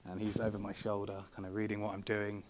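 A young man speaking from a podium at a conference panel, measured and full of ums and you-knows. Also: fairly low pitch, monotone, a little hiss or hum. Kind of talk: presentation at a podium. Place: large conference hall. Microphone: podium microphone.

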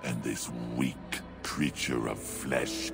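An actor playing a scheming, sneering Frankish king who talks slowly and deliberately. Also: rumbling low, fairly even intonation, creaky, over loud music, exaggerated voice. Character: scheming portly Frankish king, menacing, mocking. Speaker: king Charles. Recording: game score under a long-reverb hall.

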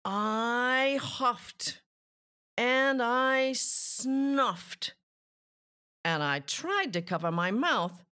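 A woman voicing a self-pitying, slyly innocent male wolf in a theatrical, deliberate children's storybook read-aloud. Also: fairly high pitch, expressive, pushed and bright, clean tone, exaggerated voice. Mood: deadpan storytelling.

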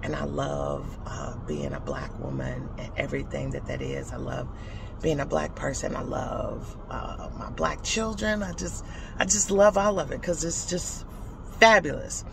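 A woman's voice: sits low, animated, a little slow, gravelly, background noise. A middle-aged woman talking into her phone in a parked car, speaking in a passionate, lyrical monologue that stretches out words for emphasis. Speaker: vlogger. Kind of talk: heartfelt spoken monologue. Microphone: phone at arm's length.

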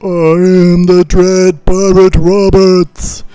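A man playing a flat, menacing pirate. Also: sits high, clear, resonant tone, no room sound, faint music behind, voice pushed up. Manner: slow, deadpan menace. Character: menacing masked male pirate.

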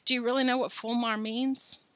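A woman's voice: a little high, little pitch movement, moderate pace, fairly bright tone, no echo, pitched above the performer's own voice, lightly acted. A woman reading the lines of a cheerful, easygoing father in a storybook. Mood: amused.

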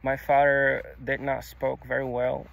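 A young man whose first language is Czech, speaking outdoors into a phone in a halting, confessional way, restarting words and swearing casually. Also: mid-range voice, completely flat delivery, gravelly, a bit bright. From Czech Republic. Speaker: vlogger. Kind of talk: personal confessional monologue. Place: outdoors on a grassy hilltop. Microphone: phone camera held low.

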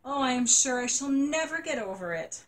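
A woman's voice: sits high, animated, normal speed, pitched above the performer's own voice. A woman voicing a tearful, gushing nine-year-old girl in a storybook reading. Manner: sobbing, breathless and gushing.

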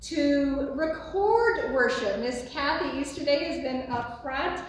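An older woman pastor with a booming voice giving church announcements and a benediction, recorded by a distant phone in a large sanctuary. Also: slow pace, a little hiss or hum. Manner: booming, expressive, gesturing widely. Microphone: phone on a tripod from afar. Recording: echoey sanctuary from far off.

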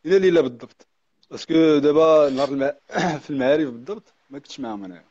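A man's voice: a little high, very fast, darkish tone, dry recording. A man in his twenties with a Moroccan accent answering questions over a call, hesitant and restarting. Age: 20s. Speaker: guest on call. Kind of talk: phone-in interview. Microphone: phone call. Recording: muffled lo-fi call audio.

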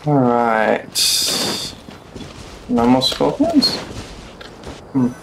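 A man's voice: medium pitch, wildly swooping pitch, very slow, vocal fry, a little hiss or hum, rough recording. A young man giving casual live commentary as he streams a video game. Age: young adult. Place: small room indoors at home. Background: loud game music.

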